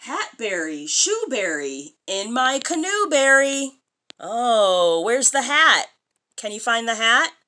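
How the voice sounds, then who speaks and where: Expressive woman reading to a child, lively pitch. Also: slow pace. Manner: sing-song, expressive, child-directed. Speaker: storyteller reading a children's picture book aloud. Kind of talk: read-aloud to a young child, with questions and commentary. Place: small furnished room indoors.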